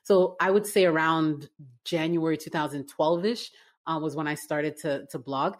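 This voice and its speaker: A woman from Canada in her forties, a business-owner guest telling her story in a relaxed interview, with lots of fillers and restarts. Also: sits low, moderate pace. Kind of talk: casual interview conversation.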